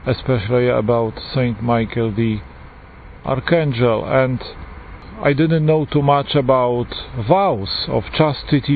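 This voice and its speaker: Polish priest on a tinny line. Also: male voice, mid-range voice. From Poland. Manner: earnest, unhurried, pausing often. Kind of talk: personal testimony. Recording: tinny phone-like line with faint hiss.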